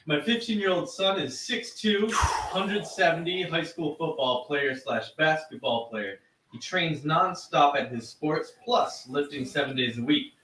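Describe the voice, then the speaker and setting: Man reading check-ins, slow and flat, set back from the mic, echoey room. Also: on the high side, recorded from a distance, a little hiss or hum.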